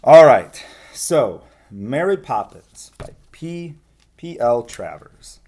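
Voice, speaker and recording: A man reads a picture book aloud in a mid-range voice that moves up and down with great liveliness. He is expressive and speaks directly to young listeners, slipping between narration and casual asides. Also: mid-range voice, slow.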